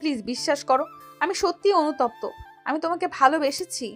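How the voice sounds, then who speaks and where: A woman playing a lovesick, remorseful younger sister in an audio love story, speaking softly and earnestly in a pleading way. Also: high voice, fairly quick, soft background music, lightly acted.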